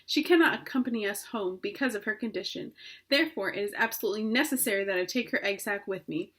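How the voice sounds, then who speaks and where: A woman voicing an anxious, earnest young male pig who pleads in a high, emotional voice. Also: quick pace, slightly rough. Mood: desperate. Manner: pleading, rises high and loud when upset.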